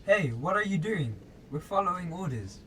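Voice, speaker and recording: A man's voice: sits high, very animated, moderate pace, fairly bright tone, a little hiss or hum, rough recording. A young man acting a pleading, apologetic civilian held by robbers in an amateur radio drama. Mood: scared, pleading.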